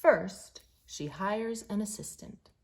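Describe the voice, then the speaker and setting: A woman reading a children's picture book aloud in an expressive, deliberate way, stressing key words. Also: medium pitch, slight background noise.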